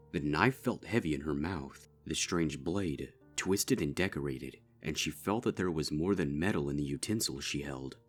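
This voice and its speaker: A man giving grave, measured narration of a dark fan story. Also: a bass voice. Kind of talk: dark fanfic audiobook narration.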